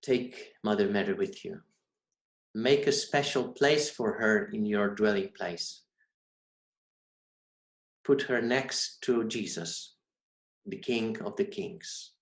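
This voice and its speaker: Polish-accented male priest, slow and earnest, short halting phrases, echoey room. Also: fairly low pitch. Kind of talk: devotional reflection talk. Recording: roomy echoey recording.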